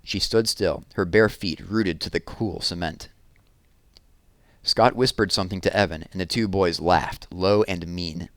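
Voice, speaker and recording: A man reading a children's novel aloud with expressive storytelling. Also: low voice, measured pace, no echo. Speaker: reader.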